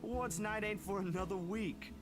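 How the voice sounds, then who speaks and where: A man voicing a young male artist friend with a Southern country accent, reading game dialogue aloud in a relaxed, reassuring way over background music. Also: high voice, moderate pace, lightly acted. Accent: American Southern.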